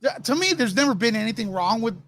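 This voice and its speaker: A man with a distinctly high voice talks in an easy conversational style. He speaks as a guest in a panel discussion. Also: lively intonation, fast talker, a bit bright. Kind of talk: casual chat.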